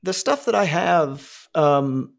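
A man's voice: fairly high pitch, lively intonation, takes it slowly, creaky. A man in his forties talking casually, rambling with lots of fillers and restarts and swearing freely. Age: 40s. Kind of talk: casual conversation.

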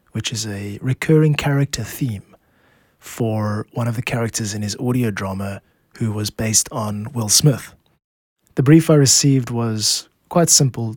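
A man's voice: medium pitch, dry recording. South African man, dry close podcast narration. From Cape Town, South Africa. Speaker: podcast host, music producer and composer. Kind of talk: scripted explanatory podcast narration.

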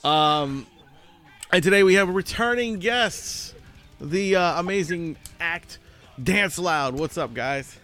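A man's voice: sits high, expressive, slow, sharp tone, soft background music. A man hosting a podcast, opening the show with casual, teasing banter. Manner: joking, teasing. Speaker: podcast host.

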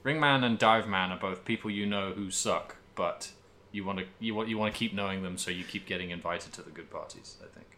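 A man with a very low-pitched but bright, crisp-edged voice. He chats as a podcast host about games, loosely and at length, wandering through his thoughts and swearing now and then. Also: brisk, slight background noise. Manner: rambling, animated riffs peppered with swearing. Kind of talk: casual video game chat.

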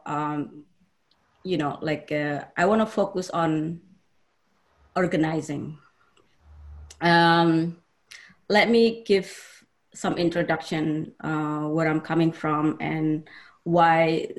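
Slow, low-voiced woman with Indonesian accent. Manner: halting, searching for words. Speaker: panel speaker. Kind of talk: informal personal talk.

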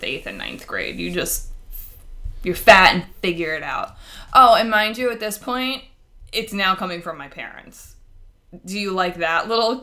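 A woman's voice: on the low side, pushed and bright. A woman telling personal stories casually as a podcast co-host, with a wry, self-deprecating and emphatic manner.

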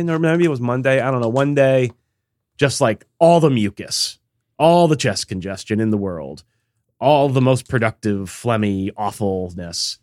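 A man co-hosting a casual podcast chat, congested and tired, talking in a rambling, halting way with many fillers. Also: mid-range voice, no room sound. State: congested, recovering from illness. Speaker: podcast co-host.

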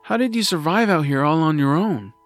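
Kind-hearted young hero, played by a man: earnest, expressive, mid-pitched, narrating his fantasy audio drama over faint music. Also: brisk, close to an everyday voice. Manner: earnest, eager.

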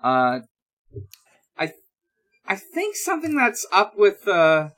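A man hosting a podcast, chatting casually through news in a halting, hesitant way with drawn-out pauses. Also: high voice, wildly swooping pitch, a bit bright. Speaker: podcast host.

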